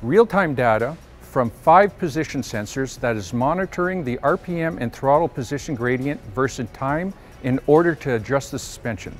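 A middle-aged man giving a scripted technical presentation in a steady, measured voice, recorded on an indoor studio set. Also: mid-range voice, soft background music.

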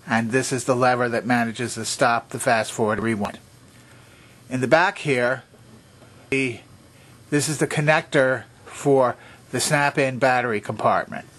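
A man's voice: mid-range voice, fairly bright tone. A man presenting a hands-on repair demonstration, explaining methodically and pointing things out step by step.

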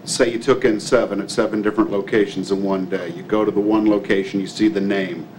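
A man's voice: fairly low pitch, fairly even intonation, a little fast, darkish tone, slight background noise, rough recording. A man explaining how police use a tool, in a plain explanatory way, at a city meeting. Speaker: police representative.

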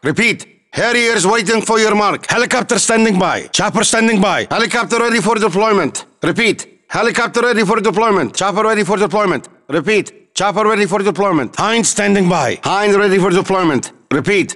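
A man's voice: high-pitched, fairly quick, hardly any pauses, fairly bright tone. A man playing a merciless enemy army commander, giving terse, clipped announcements in a military video game. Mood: stern, urgent.

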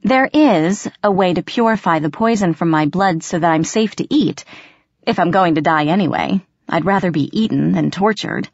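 A woman voicing a frightened but quick-thinking captive girl who bluffs and pleads, in an audiobook. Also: lowish voice, lively intonation, dry recording, highs cut off. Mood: anxious bluffing. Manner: bluffing, pleading, hesitant when cornered. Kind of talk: audiobook character voice.

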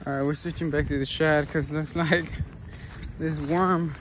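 A man's voice: fairly high pitch, level tone, normal speed, fairly bright tone, slight background noise. An American man chatting casually and informally as a fishing vlogger, out in the open air by a pond. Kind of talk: casual chat while fishing. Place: outdoors on a grassy pond bank.

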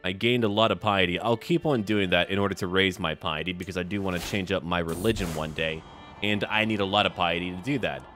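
Man, low voice, casual game commentary, short think-aloud remarks, light background music. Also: steady tone, a little fast, fairly bright tone. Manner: muttering to himself in short remarks, reads game text aloud. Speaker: gaming video host. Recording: music behind the voice.

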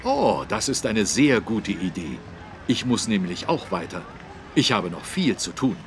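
A man voicing a friendly, dutiful policeman who explains things clearly in a children's game. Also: lowish voice, big pitch swings, measured pace, a little hiss or hum. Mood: kindly. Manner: kindly, clearly instructive. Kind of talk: children's educational game voice acting.

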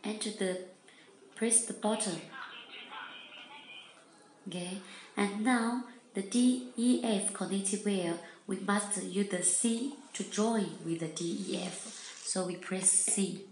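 A woman demonstrating a product in non-native English, taking each step slowly. Her phrasing is simple and halting, with repeated instructions. The room is echoey, with some background noise. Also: mid-range voice, a little mellow. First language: not English.

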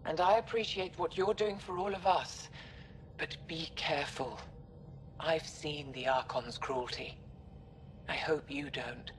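An actress playing a grave, dignified older alien leader in a video game, speaking formally and with measure. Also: female voice, steady tone, unhurried. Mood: grave, gracious. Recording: faint low rumble behind.

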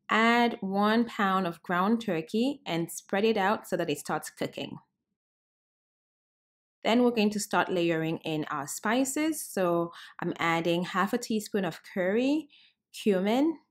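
A cheerful woman in her thirties hosts a home cooking tutorial, chatting straight to her viewers. Her voice sits at an ordinary middle pitch and she talks in a friendly, upbeat way. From Cameroon. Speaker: cooking show host. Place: bright home room, indoors.

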